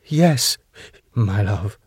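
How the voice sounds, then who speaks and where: A man's voice: fairly high pitch, animated, normal speed, no echo, studio quality, pitched above the performer's own voice, lightly acted. A man voicing an exasperated, long-suffering middle-aged bar manager in a script reading, wordy and sarcastic. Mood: anxious.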